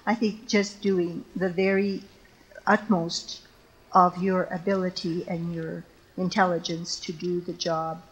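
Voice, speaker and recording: Slow, thoughtful older woman panelist. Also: on the low side, little pitch movement, lo-fi sound. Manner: halting, thoughtful, many fillers. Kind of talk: answering an audience question. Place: lecture stage before an audience.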